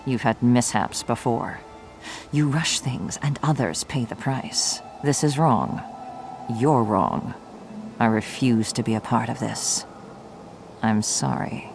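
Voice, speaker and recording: Serious idealistic necromancer lady, measured delivery, fantasy game, music in the back. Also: extremely low pitch, vocal fry, a little mellow, exaggerated voice. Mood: firm, disapproving. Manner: measured, solemn. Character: earnest, principled human female necromancer. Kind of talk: video game cutscene acting.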